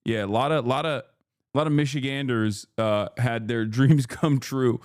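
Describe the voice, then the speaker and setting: Male streamer, 30s, mid-pitched, bantering with chat, swears a lot, dry close sound. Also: normal speed, no echo. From Turkey. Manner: loud, joking, slangy, swears freely. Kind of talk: casual livestream chat.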